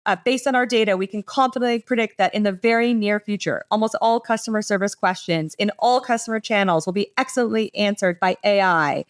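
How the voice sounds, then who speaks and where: A woman speaks at a medium pitch, like a podcast panelist walking colleagues through a case study. Her delivery is conversational and enthusiastic. She explains clearly in casual, everyday phrasing. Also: fairly quick, hardly any pauses. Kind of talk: conversational business presentation.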